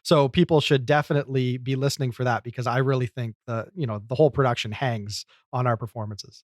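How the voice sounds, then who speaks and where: A man's voice: mid-range voice, fairly even intonation, quick pace, no echo, clean studio sound. A man co-hosting a podcast, talking casually and at length in a chatty, enthusiastic way. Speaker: podcast co-host.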